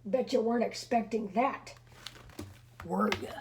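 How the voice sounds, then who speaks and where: A woman's voice: fairly high pitch, measured pace, darkish tone, slight background noise. A woman reading a storybook aloud expressively to children, with chatty, teasing asides to her listeners.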